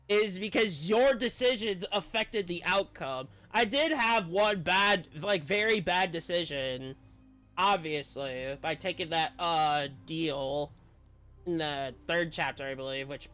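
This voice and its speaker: A man with a high-pitched, bright voice plays through a story game for viewers. He reads and comments as a streamer would, with faint game music behind him. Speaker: game streamer reading quest dialogue aloud and reacting. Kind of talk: let's-play commentary with read-aloud narration.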